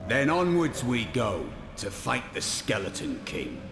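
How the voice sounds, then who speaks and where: Animated, gravelly templar warrior. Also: male voice, mid-range voice, unhurried. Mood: proud, solemn. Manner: solemn, declamatory, formal. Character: proud, stern templar warrior, righteous and formal. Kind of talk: heroic fantasy game acting. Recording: echoing, under loud game music.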